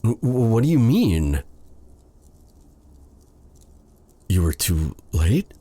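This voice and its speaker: A brooding dark sorcerer, acted by a man for a romantic fantasy audio drama. His low, husky voice moves through wide swings of pitch. He speaks intimately and haltingly, with sighs and courtly old-fashioned phrasing, a stern captor with a tender heart. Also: very animated, no room sound, exaggerated voice. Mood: tender, shocked.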